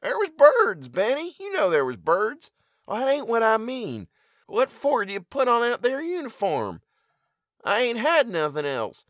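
A man voicing a worried rural farm mother who speaks in rough country dialect. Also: extremely high pitch, huge pitch swings, a little slow, fairly bright tone, no echo, clean studio sound, voice pushed up, heavily acted. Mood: anxious pleading. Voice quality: rustic dialect, pleading.

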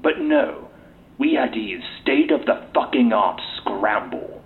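A man's voice: on the low side, wildly swooping pitch, a little slow, full character voice. A man playing a bitter, cynical, foul-mouthed military officer being interviewed in an audio drama. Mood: furious, sarcastic. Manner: cynical, exasperated, sweary. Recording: tinny lo-fi interview tape with hiss.

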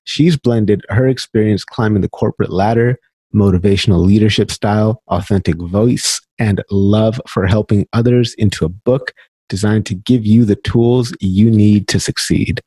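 A man's voice: fairly low pitch, steady tone, normal speed, mellow, slightly rough, no echo. A man hosting a podcast, giving an upbeat scripted introduction and then interviewing a guest. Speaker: podcast host.

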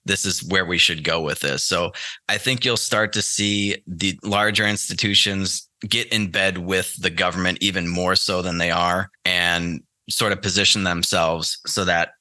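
A low-pitched man with a very bright, hard-edged voice, recorded dry and close. He is from the United States and speaks as a podcast guest in casual, informal talk, working out his thoughts as he goes. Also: steady tone, moderate pace, dry recording. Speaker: podcast guest, trader and former institutional investor.

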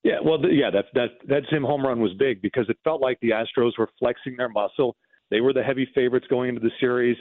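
A man's voice: mid-range voice, quick pace, no echo. A man speaking as a baseball broadcaster guest, reminiscing conversationally about games over a phone-like connection. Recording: narrow phone-like line.

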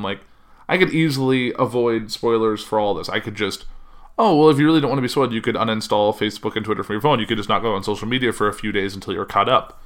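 A man hosting a podcast, talking casually and rambling, with a loud voice that carries and frequent self-corrections. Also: mid-range voice. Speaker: podcast host. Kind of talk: casual solo chat.

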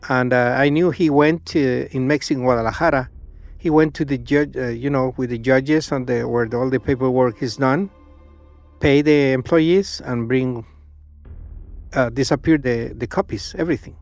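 A man answering interview questions in a halting, casual way, with many pauses and restarts. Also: mid-range voice, steady tone, faint music behind. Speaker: interviewee, former church member.

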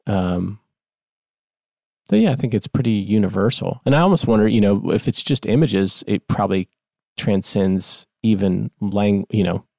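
A man co-hosting a comedy podcast, musing in a halting, deadpan way. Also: lowish voice, creaky, dry recording, clean studio sound. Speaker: podcast co-host.

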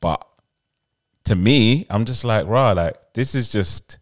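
A young man with a very deep, bassy voice, chatting on a podcast. His speech is casual and halting, with plenty of fillers. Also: lively intonation. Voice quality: deep bass voice. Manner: hesitant, lots of fillers. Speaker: podcast co-host. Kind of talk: casual chat.

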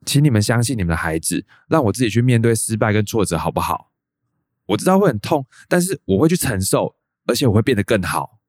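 A man's voice: fairly low pitch, very animated, quick pace, darkish tone, no room sound, clean studio sound. An actor with a Taiwanese Mandarin accent playing an earnest, determined man in his thirties in a radio drama. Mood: pleading, determined. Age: 30s. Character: earnest, determined thirty-two-year-old son chasing a dream. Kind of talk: radio drama monologue and dialogue.

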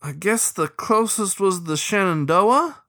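A man voicing a shy, timid young male ferret who answers in a hesitant, halting way. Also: high voice, animated, bright, forceful tone, voice pushed up, exaggerated voice.

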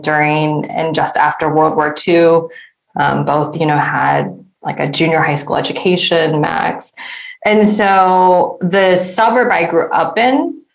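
Low, gravelly woman in an echoey room. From Detroit area, United States. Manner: thoughtful, many fillers and "like", "right?" tags. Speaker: guest. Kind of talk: reflective interview answer.

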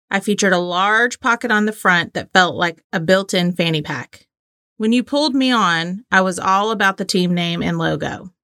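A woman's voice: lowish voice, sharp tone, no room sound, clean studio sound. A woman co-hosting a casual, playful quiz chat. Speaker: co-host and quiz player.

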